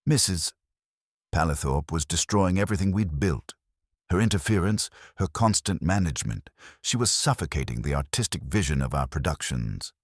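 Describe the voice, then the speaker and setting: Cornered theatre director, played by a man: very low voice, swooping, grandiose and self-justifying. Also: animated, moderate pace, breathy edge, no echo, clean studio sound. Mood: strained, resentful. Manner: theatrical, protesting.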